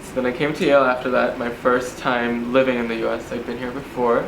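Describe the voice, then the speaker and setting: Flat-toned young man with American accent. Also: mid-range voice, fairly quick, reverberant, background noise. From Bangladesh. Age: young adult. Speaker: student interviewer. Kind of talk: casual interview. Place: apartment.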